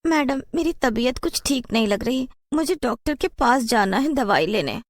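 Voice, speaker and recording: A woman plays a poor, submissive young bride in a cartoon tale. Her voice is very high and earnestly pleading, with a dry, close sound. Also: expressive, normal speed, no room sound. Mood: weak pleading. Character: poor, meek young food-seller bride, wronged and submissive. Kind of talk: cartoon story acting.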